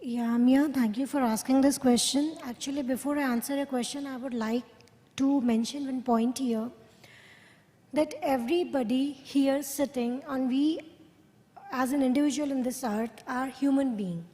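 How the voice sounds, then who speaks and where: A woman neuroscientist speaks earnestly on a conference panel. Her voice is notably high, and she explains her points at length. A long reverb from a large hall trails her words. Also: fairly even intonation, moderate pace, mellow.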